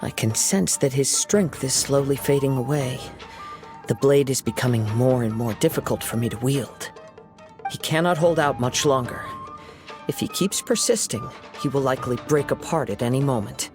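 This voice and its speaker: A man playing a calm, courteous young wandering swordsman in a video game, speaking in a measured, formal, composed way. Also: medium pitch, lightly acted. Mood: calm, concerned. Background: game music.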